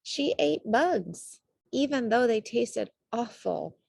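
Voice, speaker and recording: A woman reading a children's storybook aloud as an expressive narrator. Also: on the high side, slow, creaky, husky, dry recording. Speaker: storybook reader.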